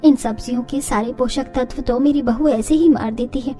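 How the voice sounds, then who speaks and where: A woman's voice: high-pitched, normal speed, warm tone, soft background music, voice pushed down, lightly acted. Woman voicing a homely older mother-in-law, exclaiming. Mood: shocked, complaining. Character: older mother-in-law, scandalised yet kindly, homely Indian family woman.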